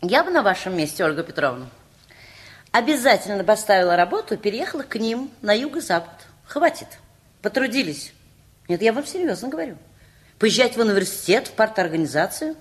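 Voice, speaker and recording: Actress as swooping, bossy opinionated aunt. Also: female voice, medium pitch, very animated, fairly quick, lightly acted. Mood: insistent. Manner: insistent, emphatic, busybody. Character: bossy, opinionated aunt handing out strict advice. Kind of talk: radio play acting.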